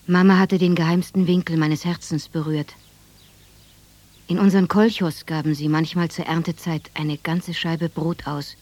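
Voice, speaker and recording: An actress playing an adult narrator who recalls her village childhood in a reflective, measured, husky voice in a radio drama. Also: deep voice, flat delivery, a little mellow.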